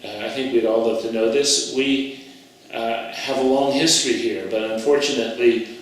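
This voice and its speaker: A man's voice: medium pitch, steady tone, normal speed, slight background noise. Hesitant male interviewer in echoey room. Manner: warm, hesitant questioning with fillers. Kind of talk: oral history interview. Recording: echoey room, distant mic.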